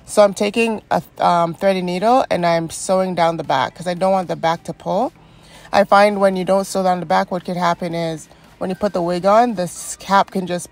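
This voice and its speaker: A young woman with a stuffy, congested voice from a cold, chatting through a step-by-step wig install tutorial and encouraging viewers. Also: on the low side. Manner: chatty and encouraging, frequent ums. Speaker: wig stylist and beauty vlogger.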